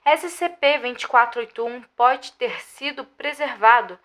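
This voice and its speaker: A woman plays a female researcher with a Brazilian Portuguese accent, delivering a formal report in an audio drama. Her voice sits a little high and has a clear-cut, bright edge. The reading is measured and scripted, like findings presented to colleagues. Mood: formal, expository. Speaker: narrator. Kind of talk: scripted narration of a scientific report.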